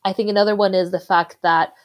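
A woman from the Philippines, raised in Sweden, talking as a UX designer guest in a casual interview, speaking in an articulate, measured way with careful hedges. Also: mid-range voice, fairly even intonation.

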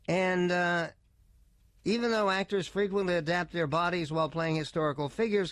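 A man's voice: high-pitched, a bit bright, no echo. Older man hosting a talk radio show. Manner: conversational, frequent uh fillers and restarts, wry asides. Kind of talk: radio commentary, partly reading aloud.

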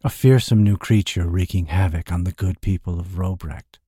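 A man's voice: sits low, moderate pace, warm tone, dry recording, studio quality, pitched below the performer's own voice. Radek, a purring sly rogue as a man puts him on. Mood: smooth, sly. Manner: smooth, purring, grandiose. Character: smooth-talking sly young male rogue.

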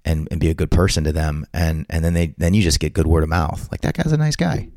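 A man's voice: rumbling low, fast talker, darkish tone, no room sound, studio quality. A man, telling a personal story in a casual chat with lots of restarts. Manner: storytelling, frequent restarts and acted-out quotes. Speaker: podcast guest.

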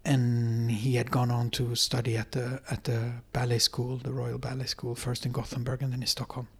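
A middle-aged man speaks in English with a Swedish accent, his voice at an ordinary pitch for a man. He talks as an interview guest, hesitant and thoughtful, filling gaps as he recalls details. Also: monotone, normal speed, creaky, slightly rough. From Sweden. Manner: hesitant, many fillers and restarts. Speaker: dance professor, guest. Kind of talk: conversational interview. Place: apartment.

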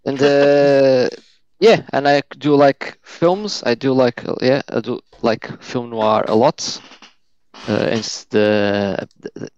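A man's voice: medium pitch, slow pace, a little mellow, smooth. A man from Portugal, a Portuguese native, chatting as a podcast guest, hesitant with many fillers and restarts. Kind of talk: casual chat. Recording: dull lo-fi dry sound.

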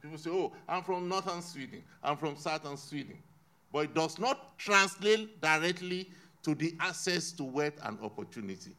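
Man, panel speaker, measured, deliberate, emphatic. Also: a little high, animated, sharp tone. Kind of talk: panel discussion. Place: lives in Sweden.

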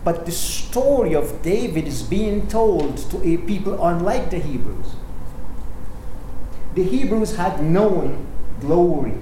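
A man from Guyana giving an earnest, measured talk to an audience about faith, with dry asides. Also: sits high, expressive. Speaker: interfaith speaker. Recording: echoey hall with loud background noise.

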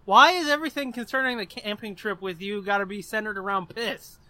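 A man with a notably high, bright voice, recorded close and dry. He talks casually as a podcast co-host, with blunt, sweary jokes. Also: a little fast, no room sound. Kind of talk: casual banter, answering listener questions.

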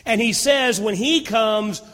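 Loud, high-voiced preacher man in a reverberant hall. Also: slow. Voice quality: naturally loud voice. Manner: swings from loud fervor to hushed near-whispers. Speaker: pastor. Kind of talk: sermon.

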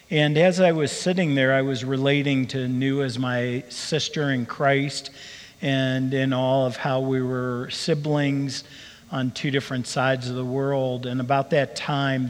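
A man, the founder of a ministry, earnestly telling his calling story in an interview. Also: mid-range voice. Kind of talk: personal testimony. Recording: long reverb of a hall.

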